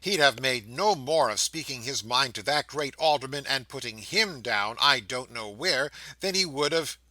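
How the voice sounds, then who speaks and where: A headstrong young groom, eager and hearty, as a male reader plays him. His voice is bright and animated. Dry and close sound. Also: mid-range voice, moderate pace, dry recording. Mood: playful.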